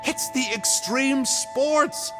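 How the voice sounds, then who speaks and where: An actor playing a chirpy, cheeky villain mage in a video game, chatty, theatrical and mock-polite. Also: male voice, very high voice, drawn-out words, heavily acted. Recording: loud background music over voice. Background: music.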